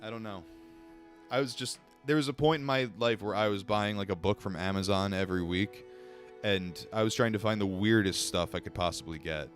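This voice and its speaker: Low-voiced man reading aloud on stream. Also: fairly quick, faint music behind. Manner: casual and blunt, swears, breaks off reading to answer chat; stumbles over words while reading. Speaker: livestream book reader. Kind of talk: reading a book aloud on a livestream, chatting with viewers.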